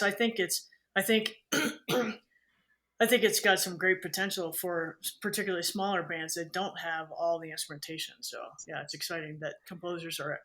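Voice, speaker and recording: A woman who is a band conductor, a guest on a podcast, telling anecdotes in an animated way and acting out quoted speech. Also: lowish voice. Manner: animated storyteller, acts out quotes. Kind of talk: casual conversation, anecdotes.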